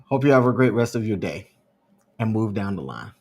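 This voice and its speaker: A man's voice: on the low side, animated, a little fast, darkish tone. A man co-hosting a podcast, casually giving his opinions and explaining his points at length. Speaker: podcast co-host. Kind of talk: casual commentary chat.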